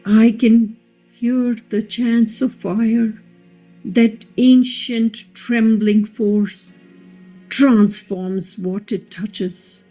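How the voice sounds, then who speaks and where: A woman playing a devoted, wounded epic queen in a play reading, speaking in a measured, reflective and solemn way, quietly resolute. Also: mid-range voice, highs cut off. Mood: solemn, reverent. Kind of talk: play reading, radio drama.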